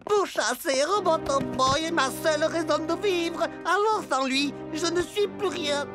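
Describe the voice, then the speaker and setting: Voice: very high-pitched, unhurried. An actor playing a pompous, melodramatic male evil genius in a French cartoon dub, grandiose and theatrical. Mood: self-pitying. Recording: music behind the voice.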